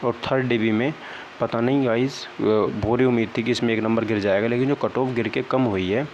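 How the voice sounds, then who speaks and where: Young male vlogger, mid-pitched, downcast conversational explainer, dull home-made sound. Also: fairly even intonation, fairly quick, a little mellow. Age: young adult. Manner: conversational, often checks in with "okay, right" tags. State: downcast, disappointed. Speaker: exam-prep vlogger. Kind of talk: casual explainer talk to viewers. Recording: dull lo-fi sound, faint background noise.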